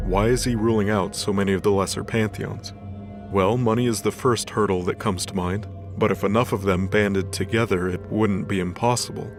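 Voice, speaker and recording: Guy with lowish voice reading dramatic story narration, music behind him.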